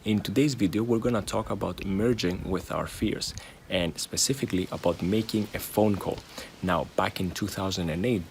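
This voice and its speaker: Low-pitched man with halting phrasing. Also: a little hiss or hum. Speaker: video host and stuttering coach. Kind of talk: informal personal vlog talk, sharing advice.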